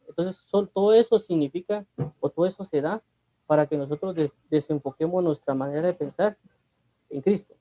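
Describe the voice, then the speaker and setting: Preacher, Guatemalan accent, man, conversational and repetitive, warm tone, no room sound. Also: on the high side, dry recording, rough recording. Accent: Guatemalan Spanish. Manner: conversational, repeats himself, asks rhetorical questions. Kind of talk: Bible teaching.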